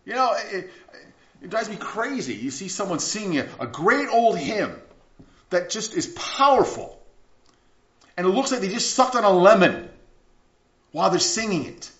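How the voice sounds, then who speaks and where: A male pastor delivers a loose, conversational sermon. His voice rises and falls in a lively way and he often stumbles and starts over. The recording is a bit dull and carries the roomy echo of a church. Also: high-pitched, moderate pace, pauses often. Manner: animated, chatty, with stumbles and restarts. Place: church sanctuary. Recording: echoey church room, slightly dull sound.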